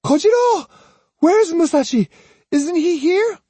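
A man acts out a frantic young girl from an audiobook tale. The voice is shrill and far higher than his own, urgent and screaming. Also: expressive, slow, mellow, no echo, full character voice. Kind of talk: dramatised audiobook storytelling.

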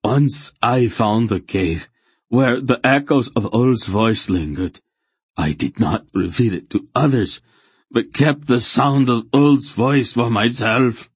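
A man's voice: mid-range voice, takes it slowly, breathy edge, no room sound, voice pushed up. A man acting a guilt-ridden, beseeching religious fanatic. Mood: anguished confession. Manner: intoning, groaning, beseeching.

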